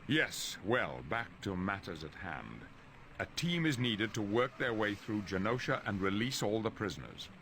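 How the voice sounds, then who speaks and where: Low-voiced, dignified older professor. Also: male voice, sing-song, moderate pace, a bit bright, a little hiss or hum. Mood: reproving. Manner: formal, authoritative. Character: dignified older male professor and leader, calm but reproving.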